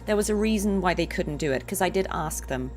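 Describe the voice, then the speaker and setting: Animated woman streamer, lowish voice. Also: brisk, soft background music. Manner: expressive, informal, chatty. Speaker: livestreamer, voice actor. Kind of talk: casual chat and reactions while streaming a game.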